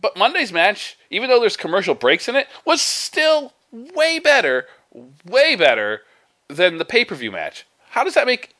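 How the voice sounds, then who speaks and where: Podcast co-host, man, bright forceful tone, rambling and animated. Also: a little high. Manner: rambling, animated, restarts often. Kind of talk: casual wrestling chat.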